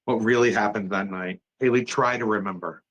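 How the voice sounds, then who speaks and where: A man's voice: on the low side, fairly even intonation, fairly quick, a bit bright, highs cut off. A man playing an authoritative psychiatric doctor in a dramatic table read, questioning and insistent. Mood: urgent.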